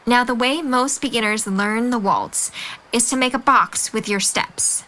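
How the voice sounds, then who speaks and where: A woman's voice: a little high, unhurried, bright, forceful tone, rough recording. A woman acting a kind, encouraging young friend in an audio drama, speaking with patient, sincere reassurance. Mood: patient, cheerful.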